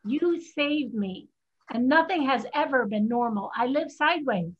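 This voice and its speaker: A woman's voice: high voice, expressive, a little slow, breathy edge, heavily acted. A woman playing a defiant fifteen-year-old girl raised as a boy, in an earnest staged play reading. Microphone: video call. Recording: dull video call audio.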